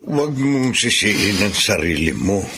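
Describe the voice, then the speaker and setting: A man playing a kindly, devout older family elder in a radio drama, gravelly, earnest and consoling. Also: lowish voice, very animated, a little slow, a bit bright, a little hiss or hum, heavily acted.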